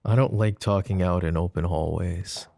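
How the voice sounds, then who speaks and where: A man voicing a possessive, flirtatious mafia boss in an ASMR roleplay drama, with a low, soft, teasing drawl. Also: fairly even intonation, normal speed, vocal fry, background noise. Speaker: voice actor.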